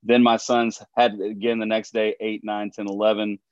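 A hoarse man in his forties, a basketball trainer and podcast guest, talking earnestly and often repeating words. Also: on the low side, level tone, moderate pace, no room sound, lo-fi sound.

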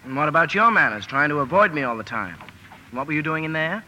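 Radio drama: boyish male suitor, teasing and romantic, very lively pitch, vintage broadcast with some noise. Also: fast, low-quality recording. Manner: animated, emphatic. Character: eager, teasing, romantic young man next door. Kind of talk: 1940s-50s radio drama acting.

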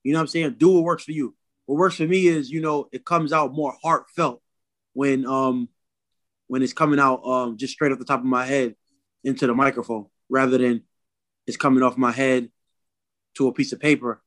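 A man's voice: medium pitch, fairly even intonation, normal speed, fairly bright tone, no room sound. New guy being interviewed, slangy and casual, pretty dry recording. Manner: slangy, peppers talk with "man", "bro" and "you know what I'm saying". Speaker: interview guest, rapper.

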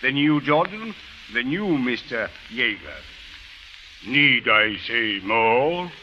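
An actor playing a dry, authoritative Egyptian police captain in an old radio drama, with formal phrasing. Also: male voice, mid-range voice, animated, slow pace. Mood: commanding, dry. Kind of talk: 1940s-50s radio drama acting. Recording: muffled old radio recording, faint noise.

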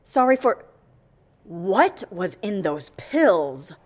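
A woman's voice: mid-range voice, big pitch swings, very slow, darkish tone, heavily acted. A woman playing an exasperated, indignant agency worker in an audio comedy, speaking with emphatic, wordy, theatrical scorn. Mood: scornful, indignant. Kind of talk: audio comedy fiction acting.

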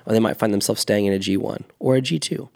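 A man in his thirties with a lowish, gravelly voice, talking through ideas in a casual podcast chat. He explains earnestly and often restarts his sentences as he thinks aloud. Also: fast talker, a little mellow. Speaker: podcast co-host, fitness coach.

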